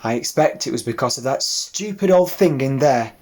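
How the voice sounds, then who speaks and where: A man voicing a motherly female sheepdog who talks in a brisk, soothing way, in an audiobook. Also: mid-range voice, lively intonation, darkish tone, voice pushed up. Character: motherly female sheepdog, kindly but sharp-tongued. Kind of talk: audiobook character voice.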